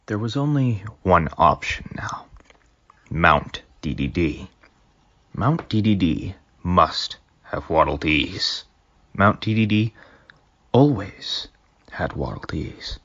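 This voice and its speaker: A man's voice: deep voice, big pitch swings, slow, fairly bright tone, no room sound, dull audio. A man reading his own fanfic aloud as dramatic storytelling, sometimes dropping into whispers. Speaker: fanfic reader.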